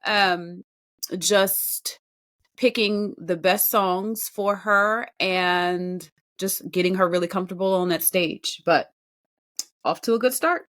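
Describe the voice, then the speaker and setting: A woman co-hosting a music podcast, offering casual, measured and constructive commentary on singing. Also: medium pitch, fairly bright tone. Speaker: podcast co-host, vocal critic.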